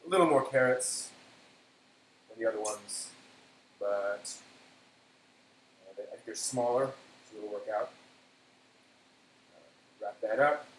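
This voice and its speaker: A man hosting a casual cooking live stream, thinking aloud in a halting way and often trailing off. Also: mid-range voice, fairly bright tone. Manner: halting, self-talking, trailing off. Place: indoor kitchen. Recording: echoey room, background noise.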